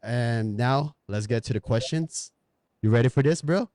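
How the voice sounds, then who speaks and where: A man's voice: medium pitch, normal speed, darkish tone, dry recording. A man hosting a podcast, chatting casually in slangy, informal language as he interviews a guest. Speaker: podcast host.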